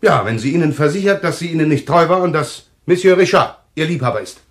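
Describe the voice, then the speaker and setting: An actor playing a fatalistic male clairvoyant in a German radio thriller, speaking in a solemn, halting, insistent way. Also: a little high, animated, lightly acted. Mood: agitated, defiant. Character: fatalistic male clairvoyant foretelling his own death.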